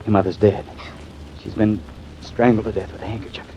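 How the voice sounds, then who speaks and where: An actor playing a troubled, tender father in a vintage radio drama, speaking in a halting, pleading way. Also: on the low side, flat delivery, warm tone, natural voice. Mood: grave, hushed. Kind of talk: 1950s radio drama acting. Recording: muffled, noisy old radio recording.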